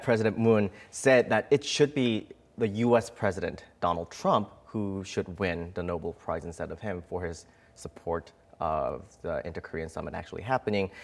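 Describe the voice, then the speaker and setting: Man, low pitch, news reporter, explanatory, measured, live discussion delivery.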